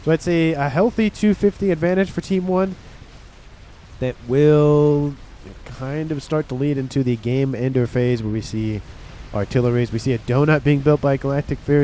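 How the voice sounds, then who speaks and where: A man's voice: mid-range voice, moderate pace, a little hiss or hum. A man with a nasal, stuffed-up voice from a cold, casually commentating a strategy game and talking to his viewers. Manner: relaxed, rambling, talks to viewers. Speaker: game caster.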